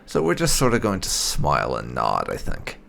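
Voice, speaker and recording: A man's voice: extremely low pitch, wildly swooping pitch, moderate pace, creaky, a bit bright, slight background noise. A man giving casual, rambling let's play commentary as a gaming YouTuber.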